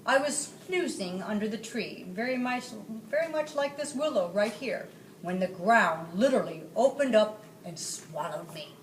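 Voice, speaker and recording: A woman's voice: medium pitch, animated, moderate pace, close to an everyday voice. A woman voicing a genteel, cultured male dragon in a children's storybook, chatty and flowery in manner. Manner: chatty, flowery, self-satisfied. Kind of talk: children's storybook read-aloud. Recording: roomy, distant mic, faint noise.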